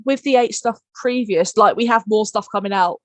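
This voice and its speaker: A woman's voice: medium pitch, moderate pace, crowd noise. A woman chatting casually as a producer on a behind-the-scenes podcast. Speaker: audio drama producer and editor.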